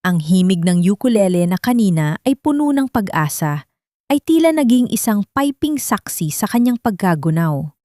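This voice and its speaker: A woman's voice: mid-range voice, sing-song, normal speed, mellow, no room sound, studio quality. A woman reading a dramatic scripted story as an audiobook narrator.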